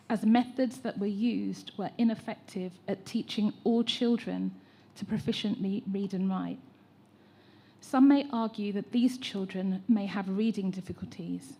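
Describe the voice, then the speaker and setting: A woman with an English accent, an educator giving an earnest, measured comment from the aisle at a public consultation. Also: mid-range voice, creaky, mellow. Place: public meeting hall, speaking from the aisle.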